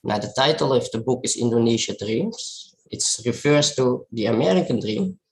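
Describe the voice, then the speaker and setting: A man from the Netherlands with a Dutch accent, a native Dutch speaker, giving an informal, reflective talk as a photographer, often halting to search for words. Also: mid-range voice, animated, rough recording. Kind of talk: informal presentation of personal work.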